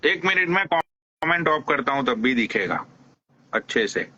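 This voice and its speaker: Bright-voiced man teasing on a lo-fi stream. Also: medium pitch, expressive, unhurried. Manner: teasing, mock-respectful, swears freely. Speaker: live stream host. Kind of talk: crude joking banter on a live stream.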